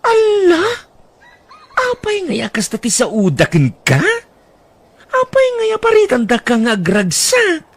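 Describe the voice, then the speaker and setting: A voice actor doing a loud gossipy girlfriend, high and squealy, teasing, very lively pitch, audio drama. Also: exaggerated voice. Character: excitable gossipy woman friend. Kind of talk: radio-style drama acting.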